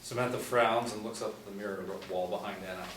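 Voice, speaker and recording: A young man narrates screenplay directions at a table read. His voice sits slightly low, picked up from a distance in an echoey room. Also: steady tone, fast, a bit bright. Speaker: narrator reading stage directions. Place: small meeting room, seated in a row. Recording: echoey room, distant mic, faint noise.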